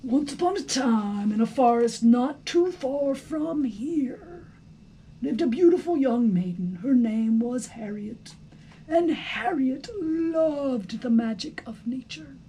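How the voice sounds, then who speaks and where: A woman reading a storybook aloud with deliberate, dramatic expression, like a teacher reading to students. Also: sits high, warm tone, slight background noise, low-quality recording.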